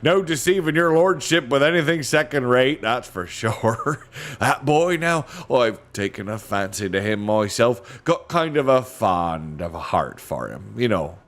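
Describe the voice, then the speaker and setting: A man reading aloud puts on a greedy pirate slave dealer, pitched well above his own low voice. He fawns and wheedles in a coarse, folksy drawl, always angling for a sale. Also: animated, a little slow, fairly bright tone. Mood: wheedling.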